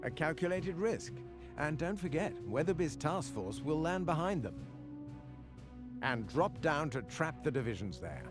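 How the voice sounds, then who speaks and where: A man playing a brisk, cautious military adviser giving mission briefings in a video game. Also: a little high, very animated. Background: loud music.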